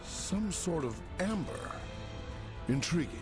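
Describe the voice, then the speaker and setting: A suave, curious video game gentleman in a fairly high, lively voice. He is archly polite and a touch condescending, with music behind him. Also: slow pace. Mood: intrigued. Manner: suave, archly polite, old-fashioned wording. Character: curious, urbane, theatrical gentleman, faintly condescending. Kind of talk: video game cutscene acting.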